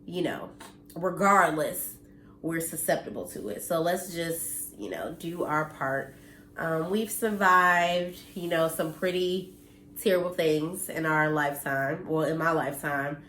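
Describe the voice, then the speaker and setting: A woman in her thirties speaks to camera at home, slightly nasal and congested, earnest and blunt with the odd curse. Her voice sits a little low. The room echoes and a faint hum of ambience stays underneath. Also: fairly bright tone. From United States. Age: 30s. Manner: earnest and blunt, mildly preachy, occasional cursing. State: congested from allergies. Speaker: vlogger. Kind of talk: casual direct-to-camera talk.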